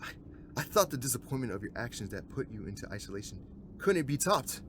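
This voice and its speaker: A man's voice: mid-range voice, sing-song, quick pace, lightly acted. A man playing a cold, stern middle-aged father and agency commander in an audio drama, formal and severe. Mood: stern, angry. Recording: clear ambient noise behind voice.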